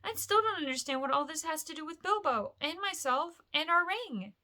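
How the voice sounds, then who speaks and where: A woman plays a small, anxious male hobbit from a fantasy storybook. The voice is lifted high and bright, urgent and questioning, as though unsettled by what he hears. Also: animated, moderate pace. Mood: alarmed, puzzled. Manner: quavering, stammering, urgent.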